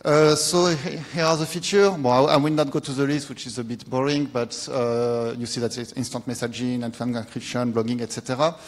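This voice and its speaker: A young man from France with a French accent, giving a technical conference talk in a lecture hall, pausing often with filler sounds. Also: mid-range voice, fairly even intonation. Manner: hesitant, many filler sounds. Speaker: conference speaker.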